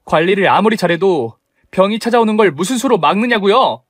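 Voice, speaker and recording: A young wife pushed too far, as a man plays her in a raised voice. She speaks up indignantly and pleads to be left alone, with her voice lifted above its usual pitch. The recording is close and dry. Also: expressive, normal speed, no room sound, lightly acted.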